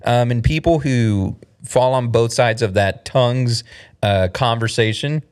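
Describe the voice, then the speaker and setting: A man hosting a podcast in casual chat, animated and laughing often. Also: medium pitch, a little slow, clean tone. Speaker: podcast host.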